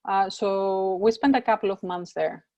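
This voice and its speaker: A woman's voice: mid-range voice, moderate pace, dark tone, dry recording. A middle-aged woman from Greece, speaking thoughtfully as an interview guest over a video call, with frequent fillers and repeated words.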